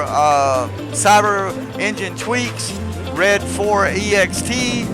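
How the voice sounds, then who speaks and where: A man giving casual, rambling gameplay commentary and chatting to his viewers in a conversational way. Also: sits high. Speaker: gaming video creator. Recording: loud music behind the voice.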